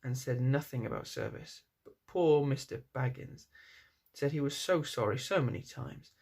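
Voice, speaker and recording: A man's voice: medium pitch, normal speed, darkish tone. A man reading a children's fantasy story aloud as narrator.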